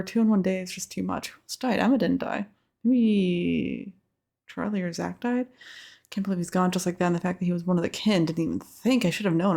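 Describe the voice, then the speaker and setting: A woman's voice: medium pitch, creaky, a little mellow. A woman giving chatty, casual gameplay commentary, muttering reactions to herself as she plays. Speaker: let's play host.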